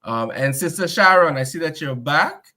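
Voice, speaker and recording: A man's voice: on the high side, lively intonation, moderate pace, bright, forceful tone. A man hosting an online panel, upbeat and courteous, keeping things moving when a guest's connection drops. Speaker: host. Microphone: video call.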